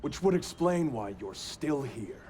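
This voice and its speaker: A man's voice: mid-range voice, sing-song, measured pace, darkish tone. A man playing a guarded, calculating agency director in a video game, speaking in a cool, wary way. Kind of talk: video game cutscene acting.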